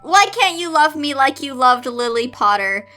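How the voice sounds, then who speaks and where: A woman's voice: high-pitched, very animated, moderate pace, pushed and bright, faint music behind, exaggerated voice. A woman voicing a hurt, timid teenage schoolgirl in a fan fiction reading, polite and earnest. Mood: hurt, pleading. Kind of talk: fan fiction read-aloud.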